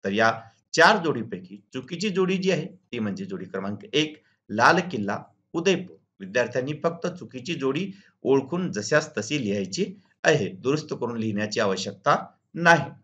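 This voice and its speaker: A man's voice: medium pitch, animated, moderate pace, a bit bright. Muffled lo-fi audio, man, teacher's exam walkthrough, formal, methodical, very varied intonation. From Maharashtra, India. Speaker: school teacher and video channel host. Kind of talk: instructional exam walkthrough, reading questions and answers. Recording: dull lo-fi recording.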